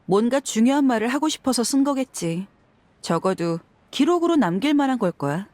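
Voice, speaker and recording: Actress as a high-voiced, frank young mercenary woman. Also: expressive, a little fast, darkish tone, no room sound, natural voice. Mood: curious. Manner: casual, frank, sighing. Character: frank young female desert mercenary, tough but caring. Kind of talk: dramatic game voice acting.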